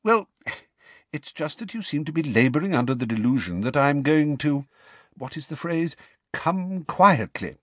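A man voicing an old headmaster wizard from a storybook, calm, pleasant and politely wry. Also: fairly high pitch, normal speed, no echo, voice pushed up. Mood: wry, defiant. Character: old male headmaster wizard, serene, courteous, quietly amused.

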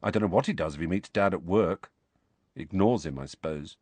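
A man's voice: deep voice, brisk, darkish tone, breathy edge, no echo. A man reading an audiobook, voicing a glum teenage boy who talks in a casual, blunt, slangy way. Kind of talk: audiobook character voice.

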